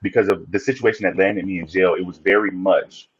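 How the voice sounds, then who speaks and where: A man's voice: low voice, steady tone, fairly quick, slightly rough, muffled recording. A man guesting on a podcast, talking reflectively in a casual interview and often restarting his sentences. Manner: reflective, speaks in restarts and repeats.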